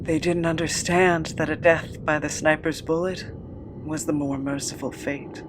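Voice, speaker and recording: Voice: mid-range voice, fairly even intonation, lightly acted. An actor as a haunted, bitter immortal captive. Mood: grieving, sombre. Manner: halting, brooding, confessional. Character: traumatised immortal escaped prisoner of inhuman kind, bitter, haunted. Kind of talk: audio drama monologue. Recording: noisy ambience behind the voice.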